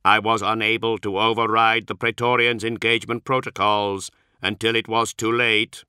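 A harsh, atonal synthetic voice of a male tech priest, as a man reads him, slow and clipped, every word carefully spaced. It is set in a dry, close audiobook recording with a bright edge. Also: on the low side, level tone, smooth, no room sound, voice pushed up. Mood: measured. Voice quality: harsh, atonal, strangely inflected. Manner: slow, clipped, precise delivery.

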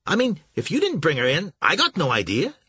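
A nervous young shipping clerk stammers and fawns in a pitched-up, jumpy voice, as a male reader plays him. His speech trips over itself as it climbs and falls. The reading is crisp-edged and dry. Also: huge pitch swings, a little fast, pushed and bright, no room sound, exaggerated voice. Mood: flustered. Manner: stammering, fawning.

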